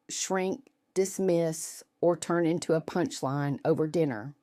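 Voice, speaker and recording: Low-voiced woman narrating a story. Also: a little mellow, no room sound, clean studio sound. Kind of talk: scripted first-person story narration.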